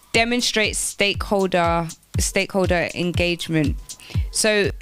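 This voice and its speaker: A woman presenting informal careers advice on the radio, explaining things step by step and repeating phrases for emphasis. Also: mid-range voice. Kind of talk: informal instructional talk on job applications.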